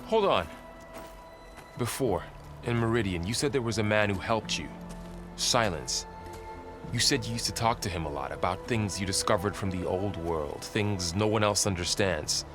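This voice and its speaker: A man playing a loyal young warrior companion in a video game, with a gently persuasive manner. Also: lowish voice, moderate pace, close to an everyday voice. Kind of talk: dramatic video game acting. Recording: music behind the voice.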